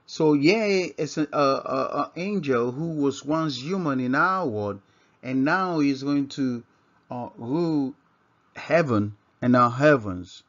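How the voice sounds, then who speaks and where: A man with a Nigerian accent teaches scripture informally at a medium pitch. He speaks haltingly, circling back to repeat and reword his points. The recording is dull and lo-fi. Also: expressive, a bit bright. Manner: halting, repeats and rephrases himself. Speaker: religious teacher. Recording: dull, lo-fi microphone sound.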